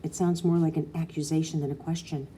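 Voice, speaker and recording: A woman reading a children's novel aloud, an expressive narrator with dramatic emphasis. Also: low-pitched, quick pace, slight background noise, poor audio. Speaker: audiobook reader. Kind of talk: expressive storybook narration, first person as a girl.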